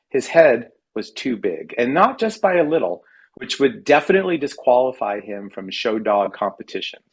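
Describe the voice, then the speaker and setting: An American man giving an expressive reading of a humorous personal essay, with wry, dramatic emphasis. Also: mid-range voice, breathy edge. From California, United States. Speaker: writer reading own work at a literary reading.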